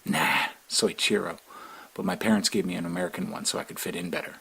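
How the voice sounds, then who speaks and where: An actor playing a polite, easygoing Japanese American rocket scientist with a gravelly voice. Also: male voice, deep voice, steady tone, fast talker, pushed and bright, full character voice, low-quality recording. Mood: friendly.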